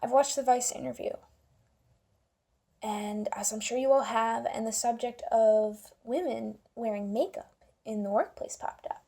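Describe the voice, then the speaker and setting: A woman's voice: a little high, a little slow, gravelly, mellow. A young woman giving her opinions casually in a vlog, with an informal, wry way of talking. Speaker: video commentator.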